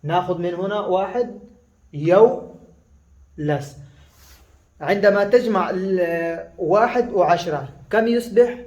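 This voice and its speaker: High-voiced man teaching in an echoey room. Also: a little mellow. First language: Pashto. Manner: halting, with many fillers and restarts, repeating words slowly. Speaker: language teacher. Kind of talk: casual spoken lesson.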